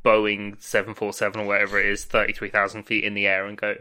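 A man's voice: fairly low pitch, completely flat delivery, fast talker, bright, forceful tone, clear, resonant tone. A man in a casual, comedic film discussion, jokey and giggly in his delivery. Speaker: podcast panelist.

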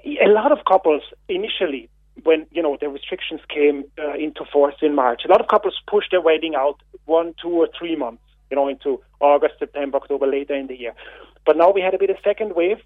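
A man's voice: a little high, dry recording. Austrian man on a tinny phone line. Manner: halting, with many fillers and repeated words. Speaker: radio guest. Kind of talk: phone-in radio interview. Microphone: telephone.

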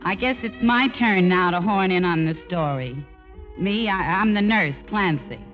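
A woman's voice: on the low side, normal speed, natural voice. A woman playing a blunt, wisecracking, big-hearted nurse in a 1950s radio drama. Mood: wry, brisk. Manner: blunt, slangy, reassuring. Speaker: actress and narrator. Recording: muffled old radio recording, music behind.

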